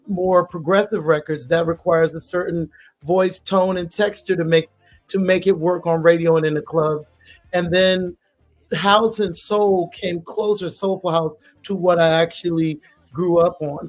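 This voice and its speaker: A woman singer, a guest in an interview, talking chattily about her career and laughing easily. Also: lowish voice. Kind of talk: casual interview talk.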